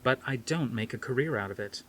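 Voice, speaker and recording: A man's voice: medium pitch, a little fast, a bit bright, a little hiss or hum, close to an everyday voice. Man reading as a snooty society woman. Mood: snotty. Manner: snotty, pointed delivery. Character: snooty, socially ambitious woman, needling her fiancé.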